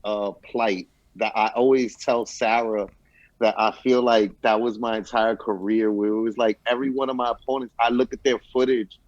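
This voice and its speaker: A man hosting a podcast interview, talking in a relaxed, slangy, casual way. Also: medium pitch, moderate pace, no echo. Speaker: podcast host. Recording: dull, muffled dry audio.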